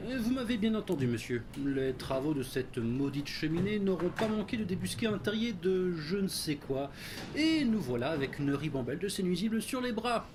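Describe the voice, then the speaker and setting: Man acting a prim, higher-voiced fussy butler. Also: very animated, normal speed, background noise. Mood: uneasy, indignant. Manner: formal, wordy, deferential, prim. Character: fussy, formal, devoted male butler, anxious and prim. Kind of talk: audio drama acting.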